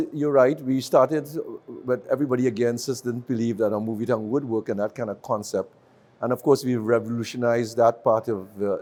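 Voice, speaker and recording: Businessman, mellow tone, talks in loose run-on answers. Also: medium pitch. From Guyana. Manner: rambling, with frequent restarts and fillers. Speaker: businessman interviewee. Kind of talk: interview answers.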